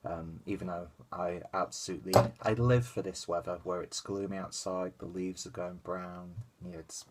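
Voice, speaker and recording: A man's voice: low-pitched, fairly even intonation, normal speed, hardly any pauses, very noisy. A young man with a stuffy, congested nose and a nasal voice, chatting casually through a beer review at home on a webcam. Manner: rambling, chatty, lots of fillers and asides. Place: small room at home, indoors.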